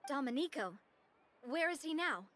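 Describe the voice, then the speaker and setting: An actress playing a brisk, direct, helpful woman ally in a fantasy video game. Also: high voice, lively intonation, fairly bright tone. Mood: concerned.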